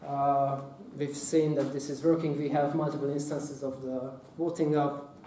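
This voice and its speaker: A man, giving a hands-on workshop talk at a conference, speaking haltingly with many fillers and restarts. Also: medium pitch, flat delivery, warm tone, a little hiss or hum. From Hungary. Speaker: workshop presenter. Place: conference room. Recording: echoey room, mic at a distance.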